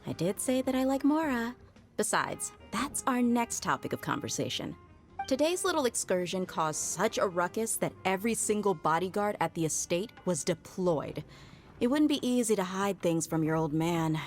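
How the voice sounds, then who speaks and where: A woman's voice: mid-range voice, lively intonation, normal speed, faint music behind, close to an everyday voice. An actress playing a tough, principled woman mercenary bodyguard in a game, blunt and casual in manner. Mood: easygoing. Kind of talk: game voice acting.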